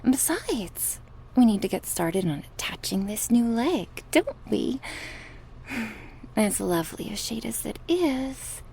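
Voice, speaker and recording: Sadistic goddess, played by a woman, ASMR horror roleplay: high, creaky voice, mock-pitying and gleeful, with theatrical whispered taunts. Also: big pitch swings, slow, darkish tone, full character voice. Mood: playful menace. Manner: taunting, theatrical, slipping between breathy whispers and cries.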